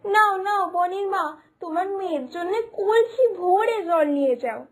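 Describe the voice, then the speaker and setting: A woman's voice: extremely high pitch, lively intonation, moderate pace. A woman voicing a bossy village neighbour who scolds and lectures in a cartoon story. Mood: sarcastic. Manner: scolding, lecturing, mock dramatic. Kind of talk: cartoon voice acting.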